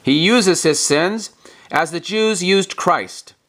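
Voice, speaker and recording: Higher-pitched man reading a devotional aloud. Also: takes it slowly, fairly bright tone. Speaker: preacher reading a religious text aloud. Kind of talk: scripted devotional reading, sermon-like.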